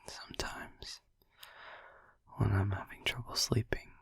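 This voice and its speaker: A man playing a gentle, caring vampire boyfriend in a soft, halting, breathy whisper for a sleep-aid ASMR roleplay, close to the microphone. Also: fairly low pitch, fairly even intonation, no echo, full character voice. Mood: musing, soft. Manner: soft, halting, soothing, casual. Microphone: close, dry microphone.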